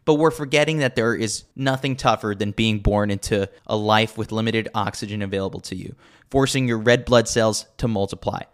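A man's voice: fairly low pitch, fairly bright tone, clean tone. A man reading a scripted sports newsletter as a podcast host, with a wry, tongue-in-cheek manner.

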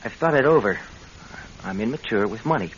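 An actor playing a sardonic, spendthrift husband in an old-time radio drama, with a breezy way of talking. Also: male voice, lowish voice, animated, fairly quick, lightly acted. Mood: contrite, wheedling. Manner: sarcastic, breezy. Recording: dull, hissy vintage radio recording.

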